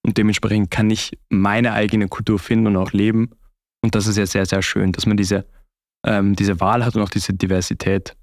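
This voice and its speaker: A man's voice: on the low side, level tone, moderate pace, no room sound, clean studio sound. A young man with an Austrian accent, talking reflectively and conversationally as an interview guest. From Austria (Vorarlberg).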